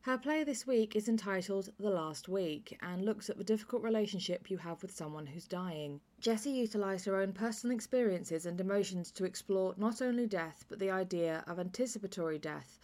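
A woman's voice: medium pitch, fairly quick, hardly any pauses, a little mellow. A woman hosting a podcast, reading a scripted introduction to a play. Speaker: podcast host.

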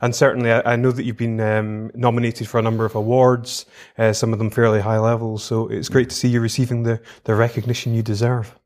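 A man's voice: medium pitch, flat delivery, fast talker, few pauses, a little mellow. Polite male podcast host. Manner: polite, encouraging, frequent affirmations. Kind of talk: interview podcast hosting.

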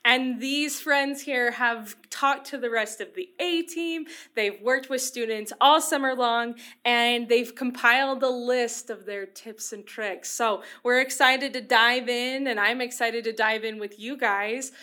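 A woman's voice: fairly high pitch, a little slow, hardly any pauses, pushed and bright. A woman hosting a student podcast, speaking in an enthusiastic, encouraging way. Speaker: podcast host.

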